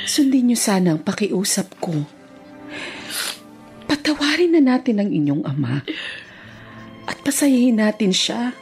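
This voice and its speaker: A woman playing a middle-aged, forgiving, long-suffering mother in a Filipino radio drama, gentle and earnest. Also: mid-range voice.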